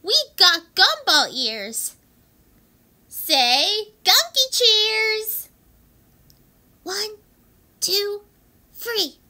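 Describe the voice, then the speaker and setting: A young-sounding female amateur voicing an excitable, bossy, cute cartoon girl with dramatic, exclamatory delivery. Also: very high-pitched, drawn-out words, pauses often, slight background noise, full character voice. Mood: cheerful. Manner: exclamatory, dramatic, over-enunciated. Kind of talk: amateur voice acting of cartoon lines.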